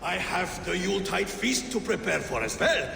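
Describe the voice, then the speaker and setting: An actor playing a courteous, worried lord of a medieval town in a video game, polite and a little hesitant. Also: male voice, sits high, very animated, normal speed, creaky, long reverb tail, background noise, heavily acted. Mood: courteous, concerned.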